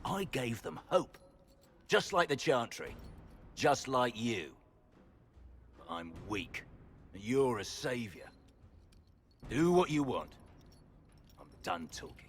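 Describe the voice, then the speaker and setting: An actor playing a weary, defiant fallen knight commander, speaking in a gruff, resentful, curt way in a video game. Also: male voice, mid-range voice, very animated, takes it slowly, long pauses, a little hiss or hum. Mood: bitter.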